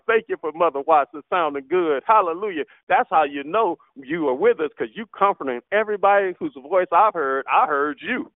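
A man leads a fervent spoken prayer, his voice sitting somewhat high for a man. He speaks in impassioned, repetitive bursts full of hallelujahs. The sound is thin and tinny, as over a telephone, with a dry, close sound. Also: dry recording. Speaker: prayer line leader. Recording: tinny phone line, dry.

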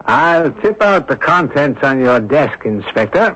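An actor playing a shrewd, self-assured sleuth in a radio drama, speaking with deliberate, wry formality. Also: male voice, mid-range voice, very animated, a bit bright, slightly rough, exaggerated voice. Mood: wry, confident. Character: shrewd, self-assured male sleuth calmly cornering a swindler. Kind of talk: old-time radio drama acting. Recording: dull lo-fi radio recording.

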